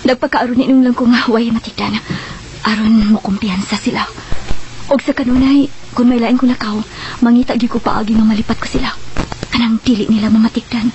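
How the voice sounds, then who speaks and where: An actress playing a guarded, troubled woman confiding secrets in a radio drama, deliberate and pleading. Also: on the high side, steady tone, darkish tone, dull audio, natural voice. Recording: noisy radio drama track.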